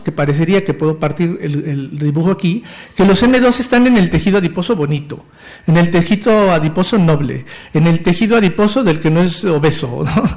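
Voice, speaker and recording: A man in his fifties with a Mexican Spanish accent, giving an enthusiastic and technical medical lecture with some stumbles and restarts. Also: high voice. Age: 50s. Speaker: physician lecturer.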